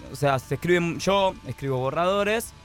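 Argentine guy late 20s, bit high pitched, halting and modest, chatting in an interview, some music low behind. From San Andrés de Giles, Argentina. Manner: hesitant, self-effacing, halting. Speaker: band singer being interviewed. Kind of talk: casual chat.

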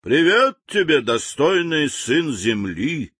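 A man's voice: fairly high pitch, very animated, a little slow, a bit bright, dry recording, studio quality, pitched above the performer's own voice, exaggerated voice. A man voicing a blustering, hot-tempered general who barks out orders. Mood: commanding. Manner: barking, commanding, indignant.

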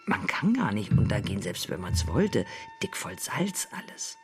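A performer in an experimental radio play, voicing a weary, brooding figure who murmurs poetic fragments in a creaky, deliberate voice. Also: deep voice, wildly swooping pitch, full character voice. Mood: musing, restless.

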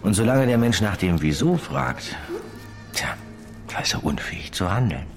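A man acting a weary police detective in a radio drama, speaking in a terse, probing or halting, reflective way. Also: on the low side, big pitch swings, creaky, over music. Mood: brooding. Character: weary, brooding male police detective, questioning and musing.

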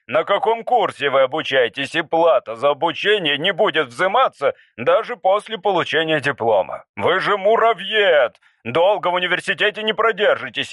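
A man's voice: a little high, a bit bright, no echo, voice pushed up. Pompous male director with a speechmaker's ring, read by a man. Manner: oratorical, commanding.

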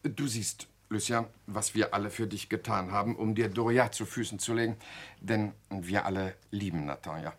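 An actor playing a jovial, cynical journalist and schemer in a German radio drama, boisterous and coaxing. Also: male voice, fairly low pitch, fairly even intonation, moderate pace, natural voice. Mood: gleeful scheming.

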